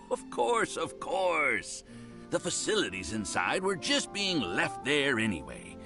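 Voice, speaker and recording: An actor playing an eager, fawning landlord in a video game who gets flustered and laughs nervously. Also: male voice, fairly high pitch, huge pitch swings, slow, over music, heavily acted. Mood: pleased. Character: eager, fawning male landlord, middle-aged.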